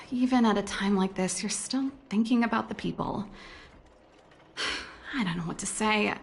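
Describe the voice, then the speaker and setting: A woman voicing a wistful, teasing older-sister rock guitarist in a video game, with a conversational, playful delivery and a creaky voice. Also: mid-range voice, measured pace, slight background noise. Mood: resigned, fond. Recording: music under the voice.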